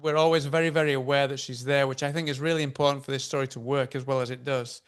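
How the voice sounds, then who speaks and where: A man's voice: mid-range voice, level tone, fast, clear, resonant tone. A man hosting an interview, asking curious, rambling questions with frequent restarts. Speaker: interview host.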